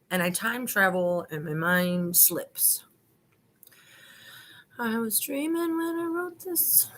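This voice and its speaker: A woman's voice: fairly low pitch, a bit bright. A woman hosting a video, reading aloud and commenting in a colloquial, digressive, self-deprecating way. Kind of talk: informal dramatic reading with running commentary.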